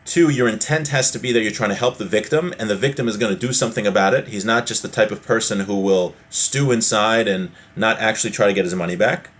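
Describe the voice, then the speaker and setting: A man's voice: lowish voice, quick pace, hardly any pauses, a bit bright. A man giving an informal religious law lesson, reading from a text and explaining it with everyday hypothetical examples. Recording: noticeable background noise.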